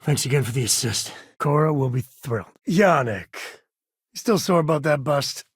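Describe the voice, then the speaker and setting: A man voicing a rugged, wry, warm-hearted space ranger in a video game, with a folksy, conversational way of talking. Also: fairly high pitch, lively intonation, normal speed, husky, no echo, clean studio sound. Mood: easygoing. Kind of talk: video game character dialogue.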